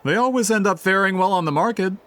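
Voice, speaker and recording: A man's voice: sits high, quick pace, fairly bright tone. An actor playing a polite, eager book merchant with a theatrical salesman's manner in a video game. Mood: explanatory.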